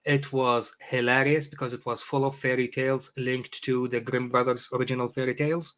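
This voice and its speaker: A man's voice: mid-range voice, level tone, fairly quick, a bit bright. A middle-aged man from Iraq, a native Arabic speaker, chatting casually as a podcast guest, jokey and full of hesitant fillers. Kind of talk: casual conversation.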